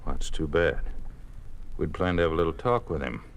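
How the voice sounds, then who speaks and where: A man's voice: rumbling low, expressive, brisk, creaky, exaggerated voice. A man playing a curt, sly, menacing communist officer in a 1950s radio drama. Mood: cold, commanding. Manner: curt, authoritative, sardonic. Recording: faintly noisy old radio recording.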